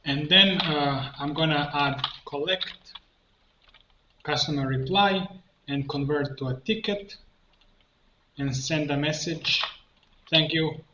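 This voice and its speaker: A man giving an unscripted software tutorial, haltingly narrating each step as he works and thinking aloud. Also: on the high side. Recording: muffled lo-fi, roomy echo, background noise.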